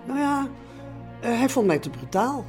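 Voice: medium pitch, sing-song, moderate pace, a little mellow, soft background music. A person telling anecdotes in an interview in a hesitant way, acting out quoted exchanges.

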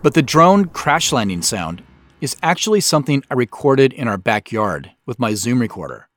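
A man giving scripted behind-the-scenes commentary as a show's creator, explaining in a measured way. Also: mid-range voice, big pitch swings, dry recording.

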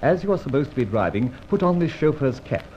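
An Australian actor playing a suave, playful gentleman thief in a 1950s radio drama, brisk and theatrical. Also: medium pitch, sing-song, natural voice. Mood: scheming, playful. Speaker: lead character. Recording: dull old radio recording, faint hiss.

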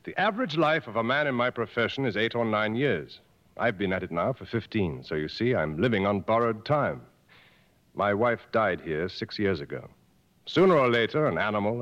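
A world-weary safari guide from an old radio drama. His talk is frank and dry, with very lively pitch movement. The sound is muffled and carries long reverb. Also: moderate pace. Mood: grave, frank. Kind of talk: 1950s radio drama acting.

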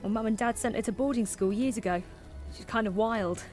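A woman's voice: fairly high pitch, fairly quick, darkish tone, faint music behind, close to an everyday voice. An actress playing a curious, earnest, bookish young British woman in naturalistic audio drama dialogue. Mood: chatty. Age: young adult.

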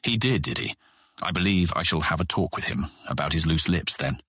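A stern older guild deputy in a fantasy audio drama. The voice is low and husky, formal and condescending, with the weight of command. It sounds close and dry. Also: male voice, lively intonation, brisk, fairly bright tone, no room sound, exaggerated voice. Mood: suspicious. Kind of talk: full-cast fantasy audiobook acting.

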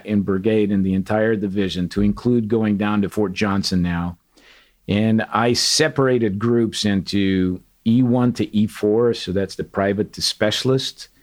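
A middle-aged man from Portugal, a retired sergeant major, telling candid stories in an interview with an earnest, animated manner. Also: lowish voice. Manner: earnest, animated, quotes others in dialogue. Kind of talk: candid storytelling.

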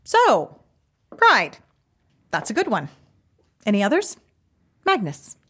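An actress playing a polished, officious female government minister in a comedic fantasy audio drama, formal, patient and measured. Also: a little high, wildly swooping pitch, fairly bright tone, breathy edge, exaggerated voice. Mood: politely patient.

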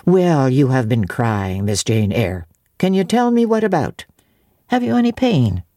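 A woman voicing a brisk, skeptical but good-natured male apothecary in a storybook reading, questioning and gruffly teasing. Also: very deep voice, very animated, mellow, no echo, studio quality, full character voice. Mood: brisk, kindly.

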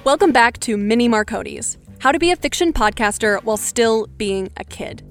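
A woman hosts a podcast and walks through a scripted explainer, direct and brisk. Her voice sits fairly high, and faint music plays under her. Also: animated. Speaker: podcast host.

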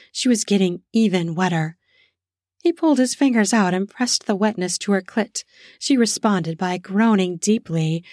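A woman narrating an erotic audio story in a slow, sensual way, lingering on words for emphasis. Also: medium pitch, darkish tone, no room sound, studio quality. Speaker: storyteller, podcast host.

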